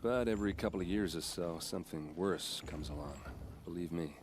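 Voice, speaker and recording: A man's voice: deep voice, brisk, close to an everyday voice. An actor playing a friendly, chatty young warrior who boasts about his tribe and his steel armor. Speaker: soldier in a king's vanguard. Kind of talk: video game cutscene dialogue. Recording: game audio with ambient noise.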